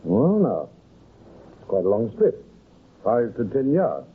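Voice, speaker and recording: An actor playing a brisk, practical male detective in an old-time radio crime drama, matter-of-fact and used to giving orders. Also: mid-range voice, huge pitch swings, husky, phone-quality sound, heavily acted. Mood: curious, practical. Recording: thin, noisy old radio recording.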